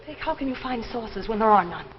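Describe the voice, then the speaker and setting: A woman's voice: fairly high pitch, animated, fast talker, lightly acted. A woman playing a lovesick, pleading young sweetheart in 1950s television melodrama style, earnest in manner. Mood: challenging. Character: lovesick, pleading young woman clinging to her departing lover. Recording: muffled, noisy 1950s broadcast recording.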